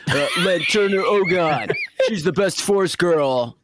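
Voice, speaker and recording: A man's voice: high voice, slow, background noise. A man on a comedy podcast panel, chatting casually and bantering with a joking manner.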